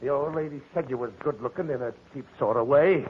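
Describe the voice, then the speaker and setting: An American actor playing a hot-headed, boozy tough sailor with rough, slangy speech in a 1940s radio crime drama. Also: male voice, mid-range voice, brisk, lightly acted. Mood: menacing. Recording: muffled, noisy 1940s radio recording.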